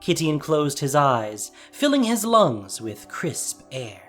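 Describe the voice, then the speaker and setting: Audio drama narrator, expressive mid-pitched delivery, light music underneath. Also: slow. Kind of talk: scripted dramatic story narration.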